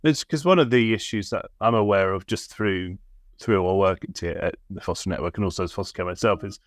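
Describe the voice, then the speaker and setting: A man's voice: low voice, brisk, dry recording, studio quality. A man hosting a podcast, asking questions in a chatty way and hedging with fillers. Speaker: podcast host, foster carer. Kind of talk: conversational interview.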